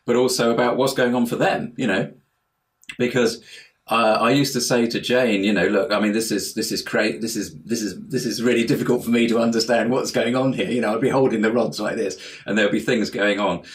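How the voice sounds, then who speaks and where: Guy with a lowish voice explaining stuff in an interview, wanders off a bit, repeats words as he goes. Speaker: interview guest, house healer and life coach. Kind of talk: conversational explanation in an online interview. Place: remote internet call.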